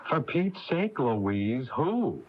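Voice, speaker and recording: An American actor playing a flustered, blustering small-town husband with a folksy manner in a 1980s radio drama. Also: male voice, medium pitch, sing-song, very slow, full character voice. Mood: curious, dismissive. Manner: folksy, stammering, protesting. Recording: dull, hissy AM radio tape.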